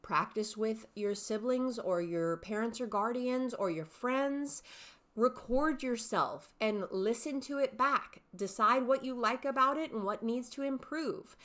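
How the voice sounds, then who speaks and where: A woman drama teacher giving instructions and narrating, with deliberate emphasis. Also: a little high, fairly bright tone. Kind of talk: instructional talk and poem narration.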